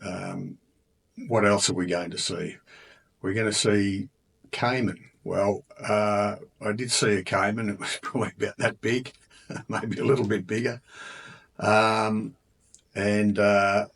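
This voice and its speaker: A man with an Australian accent talking casually about a trip, relaxed and chatty with many ums and uhs. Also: lowish voice, takes it slowly, creaky, breathy edge. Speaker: travel vlogger. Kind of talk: casual travel narration.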